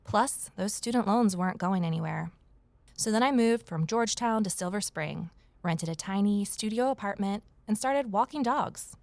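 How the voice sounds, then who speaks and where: A woman's voice: mid-range voice, normal speed, darkish tone, dry recording, lightly acted. A woman playing a plucky, wry young former journalist in an audio drama, speaking conversationally. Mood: wry, reflective. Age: young adult. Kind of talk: first-person audio drama narration and acting.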